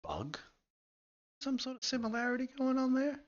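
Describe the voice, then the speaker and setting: A man's voice: very high-pitched, big pitch swings, normal speed, dry recording, muffled recording. A man co-hosting a casual, absurd chat show, joking dryly with mock-dramatic asides. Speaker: co-host. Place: home setup, streamed show.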